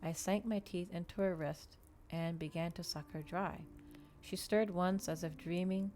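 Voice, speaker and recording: A woman's voice: on the low side, fairly even intonation, unhurried, darkish tone. A woman streamer doing an ASMR stream, reading a book and chatting casually to listeners. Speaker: VTuber streamer, reader.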